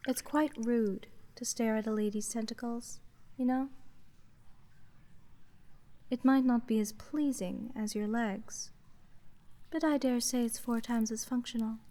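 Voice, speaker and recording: A woman playing an aloof, polite sea witch merchant in a slow ASMR roleplay, speaking formally in a deadpan monotone. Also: a little high. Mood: coolly reproachful.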